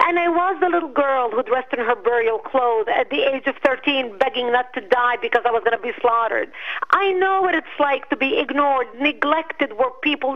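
Woman, 50s, Arabic speaker, high voice, earnest and emphatic, muffled lo-fi line. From Lebanon. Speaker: guest. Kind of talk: interview answers.

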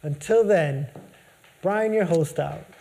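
A man's voice: on the high side, takes it slowly, vocal fry, dark tone. A male host closing his show with an upbeat, polished presenter delivery. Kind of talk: scripted show outro.

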